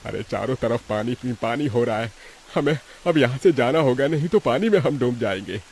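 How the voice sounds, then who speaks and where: A man's voice: mid-range voice, lively intonation, brisk, darkish tone, breathy edge. A man playing a worried, resourceful middle-aged father of a poor village family, speaking with urgency in a cartoon story. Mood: worried, resolute.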